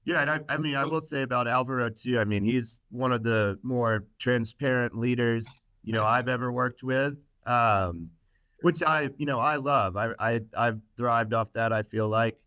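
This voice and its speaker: A man's voice: medium pitch, moderate pace, pushed and bright, smooth, no echo. A man hosting a podcast casually, joking with his guests and using lots of fillers. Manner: joking, filler-heavy, upbeat. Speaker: podcast host.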